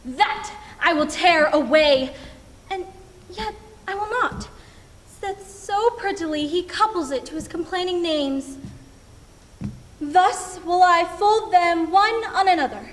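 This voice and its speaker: A young woman performing a lovesick, remorseful Shakespearean heroine in a slow, dramatic stage monologue. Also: a little hiss or hum. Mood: fretful, longing. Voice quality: high-pitched. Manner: slow, dramatic verse delivery. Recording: echoing hall, distant microphone.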